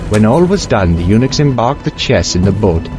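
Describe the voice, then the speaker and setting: Male narrator, lowish voice, dramatic storytelling, archaic formal diction, music playing behind. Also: big pitch swings, normal speed. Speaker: narrator playing a young merchant.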